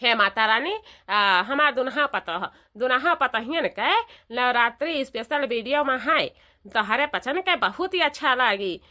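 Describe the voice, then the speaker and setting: A woman's voice: a little high, animated, measured pace, sharp tone. A woman voicing a bossy but kindly old village mother-in-law in a cartoon, with an eastern Uttar Pradesh accent and a repetitive, instructing manner. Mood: devout, pleased. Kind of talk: cartoon dialogue.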